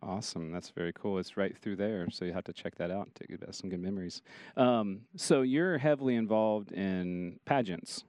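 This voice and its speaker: Very low-pitched host from Ohio, casual, short affirmations, dry. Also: female voice, moderate pace, no echo. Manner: relaxed, brief affirmations. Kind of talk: casual interview chat.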